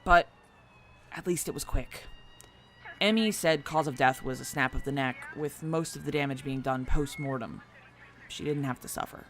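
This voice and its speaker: A man's voice: a little high, normal speed, a bit bright. A man playing a frank, casual police officer briefing a superior in an audio drama, matter-of-fact and conversational. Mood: sober. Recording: noisy scene, siren ambience behind.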